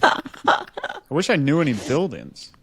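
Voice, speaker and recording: Man, high-pitched, drawn-out pace, casual podcast joking, loud noisy recording with clicks. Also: huge pitch swings, gravelly. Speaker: podcast co-host.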